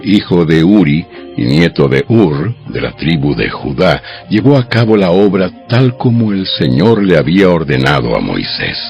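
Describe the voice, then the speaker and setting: A man narrating scripture from a script in a measured, solemn, deliberate manner. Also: deep voice, vocal fry. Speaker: audio Bible narrator. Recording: dull lo-fi audio with background music. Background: background music.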